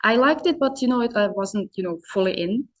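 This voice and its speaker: A woman originally from Serbia talks through her story in relaxed, conversational English. She hesitates often, leaning on "you know" and restarting sentences as she goes. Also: medium pitch, fairly quick, dull audio. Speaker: podcast guest, community founder. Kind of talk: casual interview answers.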